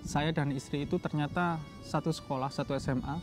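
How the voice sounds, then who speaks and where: A young adult man from East Java, telling a personal story casually in a halting way, often correcting himself. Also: fairly high pitch, steady tone, music in the background. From Jombang, East Java, Indonesia. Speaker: doctoral student telling his story.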